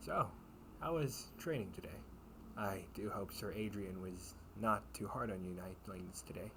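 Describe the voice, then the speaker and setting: A man's voice: fairly low pitch, unhurried. A man playing a kindly, teasing knight captain in a comfort audio roleplay, speaking in courtly, old-fashioned phrases. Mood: relaxed, confiding. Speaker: amateur audio roleplay performer. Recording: clearly noisy home recording with ambience.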